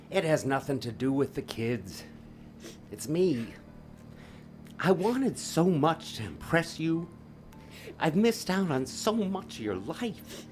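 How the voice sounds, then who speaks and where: Man as an earnest, slow-paced remorseful father. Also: fairly high pitch, darkish tone, a little hiss or hum. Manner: earnest, pleading, halting. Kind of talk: audio drama acting.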